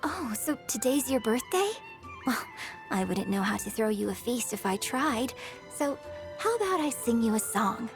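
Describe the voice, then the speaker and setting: An actress playing a refined, gracious young opera star and troupe director in a video game, speaking in a formal, theatrical manner. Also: female voice, a little high, very animated, normal speed, over music. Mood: warm, gracious. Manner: genteel, theatrical, formal wording. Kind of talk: video game character voice lines.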